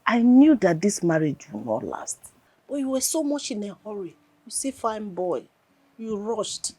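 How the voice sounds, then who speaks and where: A woman's voice: low voice, very animated, normal speed, breathy edge, full character voice. A woman playing a concerned, devout Nigerian woman in a film drama, admonishing with emphasis and urging hope. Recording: faint background noise and ambience.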